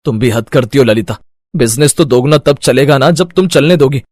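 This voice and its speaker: An actor playing a weary, henpecked village food vendor in a story cartoon, expressive and given to grumbling and pleading. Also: male voice, medium pitch, brisk, no room sound, clean studio sound, close to an everyday voice. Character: weary, henpecked village chicken-lollipop street vendor husband. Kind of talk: animated moral-story voice acting.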